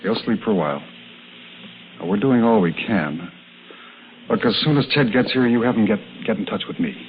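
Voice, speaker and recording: An actor playing a calm, caring American country doctor, reassuring in an old radio drama. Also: male voice, fairly low pitch, moderate pace, a little hiss or hum, lightly acted. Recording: narrow vintage radio, music behind.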